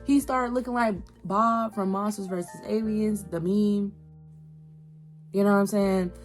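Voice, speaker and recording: A woman's voice: mid-range voice, a little slow. A young adult woman giving casual video commentary in a slangy, emphatic, conversational way, often repeating phrases for emphasis. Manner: animated, slangy, emphatic repetition. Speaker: YouTube host reviewing an animated series. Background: background music.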